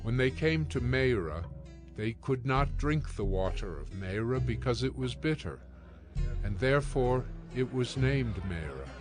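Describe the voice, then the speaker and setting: A man narrates scripture in a scripted audio production. His voice sits at a middle pitch. Music plays clearly beneath the narration. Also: big pitch swings, a little slow.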